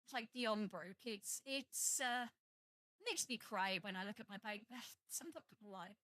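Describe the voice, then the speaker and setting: A woman's voice: mid-range voice, normal speed, bright, forceful tone, no room sound, clean studio sound. A young British woman with a British accent, chatting casually and joking with viewers on a live stream, swearing freely. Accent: British English. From Britain, half Welsh and half English.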